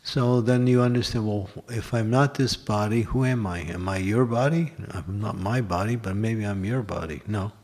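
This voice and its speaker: A man's voice: lowish voice, normal speed, few pauses, darkish tone. A man giving a scripture class at a temple, explaining step by step and posing and answering his own questions. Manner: lists examples in short runs.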